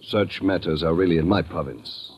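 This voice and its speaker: An actor playing a formal, authoritative colonel in a mid-century radio drama, with an officious way of speaking. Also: male voice, sits low, normal speed, darkish tone, a little hiss or hum, lightly acted. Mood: stern, official. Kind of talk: 1940s-50s radio drama acting. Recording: dull, muffled old radio recording.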